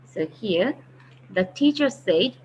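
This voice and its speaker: A woman teaching a grammar lesson, patiently explaining step by step and slowing down to read example sentences. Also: medium pitch, expressive, highs cut off, slight background noise. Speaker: English teacher.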